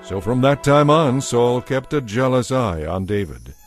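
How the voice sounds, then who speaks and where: A man narrating a dramatized Bible story from a script in a measured, expressive storytelling manner. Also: mid-range voice, soft background music.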